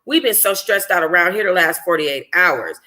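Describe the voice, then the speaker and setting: A woman reading a first-person novel aloud in an expressive, informal way. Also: on the low side, normal speed. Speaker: audiobook reader, book club host.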